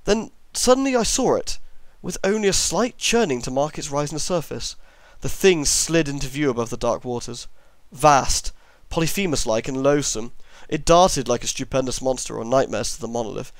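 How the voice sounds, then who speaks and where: A man's voice: medium pitch, normal speed, hardly any pauses, no room sound. A man narrating a horror story as an amateur reader, now and then stumbling over long words and correcting himself.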